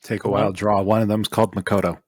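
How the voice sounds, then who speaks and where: A man's voice: on the low side, level tone, fast talker, darkish tone, slight background noise. A man co-hosting a podcast, talking casually about video games. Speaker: podcast co-host.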